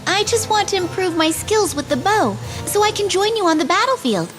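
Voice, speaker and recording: A woman's voice: very high voice, very animated, brisk, a bit bright, faint music behind, heavily acted. A woman playing a headstrong, naive young fairy princess in a fantasy game, girlish and eager. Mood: eager, protesting. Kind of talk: fantasy video game voice acting.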